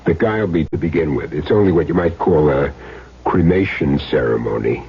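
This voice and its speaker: Low-voiced, cool hit man. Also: moderate pace, husky, exaggerated voice. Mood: cool, instructing. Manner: terse, cool, sardonic. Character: calm, hard-boiled male hit man with wry streak. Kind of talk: old-time radio crime drama acting. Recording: noisy muffled old radio recording.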